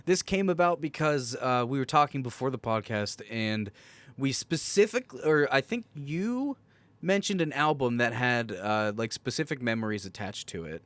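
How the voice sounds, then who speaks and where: A young man banters casually as a podcast co-host. His mid-pitched voice swings expressively while he reacts and teases. The sound is dry and close, free of room echo. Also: animated, normal speed, fairly bright tone.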